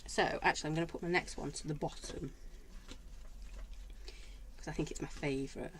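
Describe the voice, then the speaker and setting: A woman with a slightly lower, croaky voice talks chattily through handmade cards on a live stream. She greets viewers by name as she goes. Faint room ambience sits behind her. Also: fairly even intonation, a little fast, a little hiss or hum. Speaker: craft stamping demo host. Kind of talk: live-stream craft show-and-tell.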